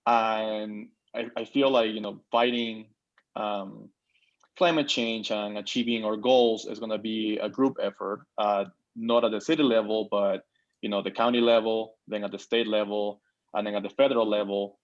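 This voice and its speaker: A man in his thirties, from Peru, speaks in a fairly low voice over a video call. He introduces himself with many pauses, fillers and restarts. The call audio is slightly dull and lo-fi. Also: fairly even intonation. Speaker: board member. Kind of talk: virtual meeting remarks.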